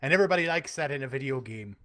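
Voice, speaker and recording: A man's voice: mid-range voice, expressive, racing, bright, forceful tone, dry recording, studio quality. A man co-hosting a casual podcast, joking and boasting crudely. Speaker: podcast co-host, musician.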